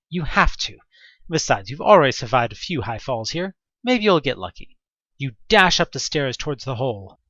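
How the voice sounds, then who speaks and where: A man's voice: fairly high pitch, a bit bright, no room sound, dull audio. A man narrating an interactive horror story in the second person, with a theatrical, wry delivery. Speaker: narrator of a fan audio drama.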